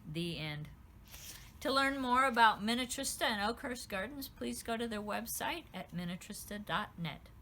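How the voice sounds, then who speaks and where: A woman reading her own children's story aloud in an expressive, lively storytelling manner. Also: a little high, normal speed, slight background noise.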